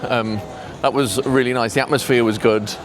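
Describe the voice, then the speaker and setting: A man, talking quietly and hesitantly to camera in a casual travel vlog, pausing often with ums, on a clip-on microphone. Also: medium pitch, steady tone, crowd noise. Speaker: vlogger.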